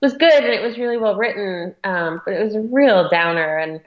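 A woman's voice: medium pitch, a little fast, highs cut off. A woman being interviewed as an editor, speaking informally and thinking aloud as she goes. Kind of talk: casual interview conversation.

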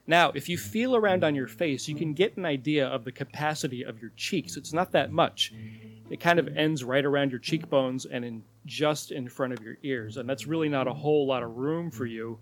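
A man co-hosting a podcast, calmly explaining facts in casual chat. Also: medium pitch, normal speed. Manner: calm, explanatory, laughs along. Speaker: podcast co-host.

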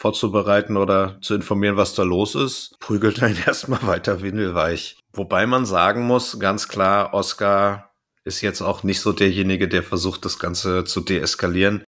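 A man's voice: low-pitched, fairly even intonation, normal speed, fairly bright tone. A man casually retelling and commenting on a story in colloquial words as a podcast co-host.

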